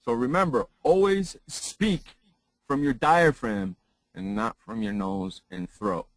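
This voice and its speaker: A man who trains broadcasters, giving a lesson in a loud, emphatic way and repeating phrases for effect. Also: mid-range voice, animated, slow pace, no echo. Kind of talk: casual chat turning into a spoken lesson.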